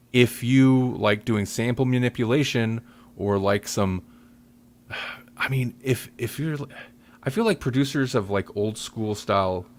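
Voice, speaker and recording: A man hosting a podcast in casual chat, rambling loosely as he searches for his words. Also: on the low side. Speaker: podcast host.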